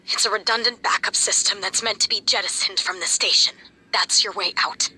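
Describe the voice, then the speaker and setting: Wounded female station officer, video game drama, grieving, halting and strained, very tense bright tone, background noise. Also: medium pitch, steady tone, rough recording. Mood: urgent. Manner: halting, breathy, emotionally strained delivery. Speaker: game character. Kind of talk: dramatic game radio message.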